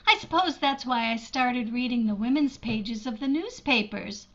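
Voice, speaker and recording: A woman's voice: fairly high pitch, moderate pace, fairly bright tone, lo-fi sound. A woman playing a shy, lonely older sister from an old-time radio drama, hesitant, earnest and self-deprecating. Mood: lonely, confiding.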